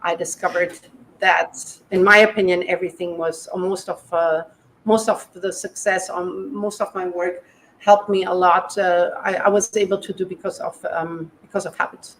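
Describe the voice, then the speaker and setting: A woman's voice: on the low side, fairly even intonation, normal speed, slight background noise, low-quality recording. Hesitant woman health coach. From Germany. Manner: hesitant, many ums, self-correcting. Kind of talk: video call interview. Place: home kitchen. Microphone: video call.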